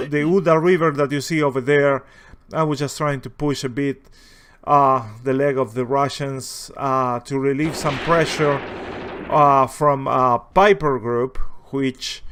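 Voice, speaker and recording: A man with a heavy Spanish accent, a native Spanish speaker, giving casual, unscripted commentary as a video host in a hesitant, rambling, halting way. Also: medium pitch, a bit bright. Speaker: video host, war-gaming enthusiast.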